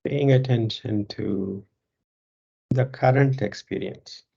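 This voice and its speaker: A yoga teacher guiding a meditation in a calm, measured way, pausing between short phrases, on a dull, muffled recording. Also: mid-range voice, creaky, breathy edge. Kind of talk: guided meditation instruction.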